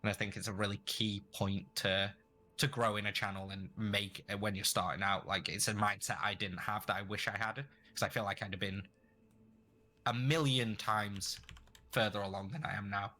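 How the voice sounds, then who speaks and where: A man with a fairly low voice and a bright, forceful edge, talking casually as an interview guest. He rambles, restarting sentences as he goes. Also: fairly even intonation, normal speed. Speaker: guest streamer.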